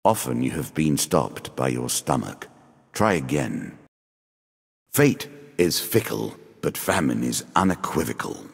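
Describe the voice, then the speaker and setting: Very deep, ancient sardonic game dealer. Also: male voice, big pitch swings, gravelly, slightly rough, heavily acted. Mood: grim, gloating. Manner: theatrical, measured, ominous and condescending. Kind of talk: scripted fantasy game character lines. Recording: long reverb on the voice.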